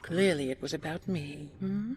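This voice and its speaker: A woman playing a tender, regal dragon queen in an intimate audio roleplay, soft and breathy, often dropping to a whisper, with gentle, halting endearments. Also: sits low, slight background noise, lightly acted. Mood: amused, affectionate. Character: tender, regal female dragon queen consoling her beloved. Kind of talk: intimate audio roleplay, sleep-aid storytelling.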